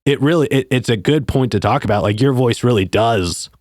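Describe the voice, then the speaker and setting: A man with a deeper voice hosting a podcast, talking thoughtfully and conversationally and affirming the guests often. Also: brisk, a little mellow, dry recording, studio quality. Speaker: podcast host. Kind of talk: casual interview.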